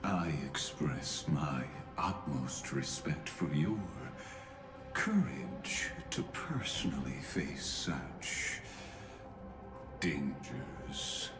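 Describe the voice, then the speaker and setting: A man's voice: a bass voice, very animated, full character voice. A man playing a cold, calculating villainous genius in a video game, formally polite and ominous, echoing over music. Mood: coldly courteous. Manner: measured, halting, ominously courteous. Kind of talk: dramatic game voice acting.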